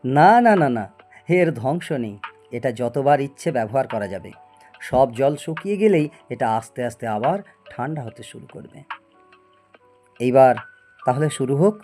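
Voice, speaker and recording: Male actor as obsessive proud scientist, audio story drama, theatrical, mellow tone, light music behind. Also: medium pitch, animated, unhurried, pauses often, natural voice. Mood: excited, explaining. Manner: theatrical, stammers when agitated. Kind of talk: Bengali audio story acting.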